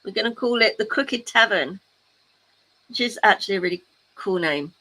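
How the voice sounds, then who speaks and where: A woman's voice: medium pitch, animated, normal speed, sharp tone, poor audio. A woman hosting a casual crafting livestream, chatting playfully and teasing her viewers as she works. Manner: playful, teasing asides to chat. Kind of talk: casual chat while crafting, reading out chat.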